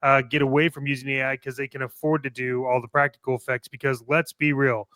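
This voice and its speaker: A man's voice: mid-range voice, level tone, fast talker, bright, forceful tone, no room sound. A man co-hosting a show, giving casual opinions in a blunt, emphatic way with some swearing. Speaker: co-host of a toy collecting show.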